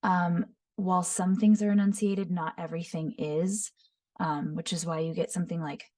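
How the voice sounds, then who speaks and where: A woman voice actor teaching voice impressions, describing each voice in short phrases and often breaking into quick character demos. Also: fairly low pitch, little pitch movement, dark tone. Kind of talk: voice impression tutorial. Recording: voice somewhat far from the mic.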